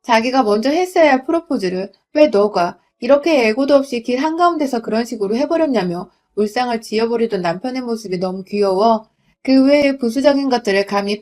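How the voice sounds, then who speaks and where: A young woman from Gwangju narrating a first-person story in a smooth, confiding reading voice. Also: a little high, darkish tone. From Gwangju, South Korea.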